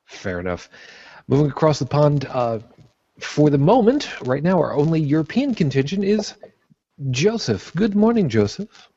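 A man's voice: on the high side, normal speed, husky. A man hosting an online panel show, casually introducing each guest over a video call. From born in Brooklyn, raised on Long Island. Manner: relaxed, chatty, introduces guests one by one. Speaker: host of a panel vlogcast. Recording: dry, muffled lo-fi audio.

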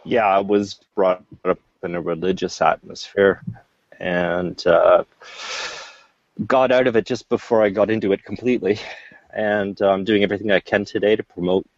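A man from Ontario, Canada giving an easygoing, somewhat halting introduction on an online panel. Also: sits low, steady tone, no room sound. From London, Ontario, Canada. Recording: dry, muffled call audio.

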